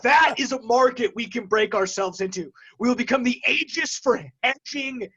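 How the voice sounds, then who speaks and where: Male co-host, notably high voice, unscripted and rambling business chat, slightly muffled lo-fi audio. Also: normal speed, bright, forceful tone, slightly rough. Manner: rambling, breaks off and restarts sentences. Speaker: co-host of an energy industry talk show. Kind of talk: casual unscripted banter and commentary.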